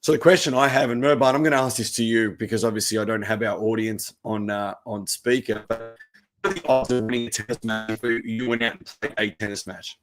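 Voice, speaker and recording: A man, a tennis coach, giving a relaxed presentation with a croaky voice. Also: medium pitch, brisk, low-quality recording. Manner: steady explaining, relaxed. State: hoarse.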